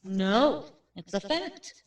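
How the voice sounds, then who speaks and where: A woman playing a brisk, matter-of-fact real estate agent in a radio drama, plain-spoken and without superstition. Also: medium pitch, sing-song, recorded from a distance, heavily acted. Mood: brisk, dismissive. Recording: roomy, off-mic drama recording.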